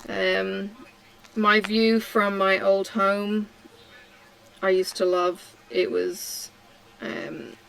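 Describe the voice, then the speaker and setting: A middle-aged woman from Tasmania chatting casually while she crafts, answering questions slowly with many ums and pauses. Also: mid-range voice, flat delivery, vocal fry, fairly bright tone, rough recording. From Australia (Tasmania). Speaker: craft vlogger. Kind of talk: relaxed chat while crafting.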